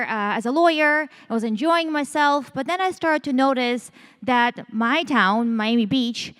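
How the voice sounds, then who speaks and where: A woman from Ukraine, a company founder, giving a lively conference pitch talk on stage. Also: clean tone. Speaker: startup founder and CEO.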